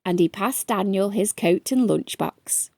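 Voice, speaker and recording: A woman reading a children's bedtime story aloud with expressive, careful phrasing. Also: mid-range voice, unhurried, no echo.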